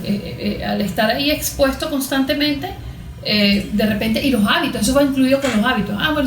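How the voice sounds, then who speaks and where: Higher-voiced Venezuelan woman explaining, roomy sound. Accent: Venezuelan Spanish. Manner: didactic, poses questions and answers them. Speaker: guest therapist being interviewed. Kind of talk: explanatory interview talk with everyday examples. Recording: roomy, echoing room sound.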